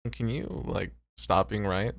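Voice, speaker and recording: A man's voice: lowish voice, unhurried, vocal fry, fairly bright tone, no echo, studio quality. A man playing a weary, self-doubting club host in an ASMR roleplay, with a hesitant, understated delivery. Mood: worried, resigned.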